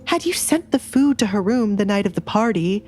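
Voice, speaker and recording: A woman's voice: medium pitch, lively intonation, normal speed, a little mellow, faint music behind, close to an everyday voice. A woman voicing a weary, cautious, calculating husband and father in an audiobook drama. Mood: weary, reluctant.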